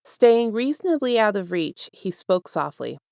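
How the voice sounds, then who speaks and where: A woman reading fiction aloud as an expressive, scripted narration with measured, deliberate phrasing. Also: medium pitch, vocal fry, no room sound, clean studio sound. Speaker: fan fiction reader.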